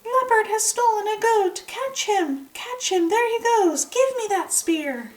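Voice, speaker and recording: Lady doing a sneaky cartoonish storybook dog, high pitched fake panic, echoey and distant. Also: lively intonation, unhurried. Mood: mock alarm. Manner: theatrical cries of alarm and feigned innocence. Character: sly male dog, scheming trickster who fakes alarm. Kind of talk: children's folktale read-aloud. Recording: echoey room, distant microphone.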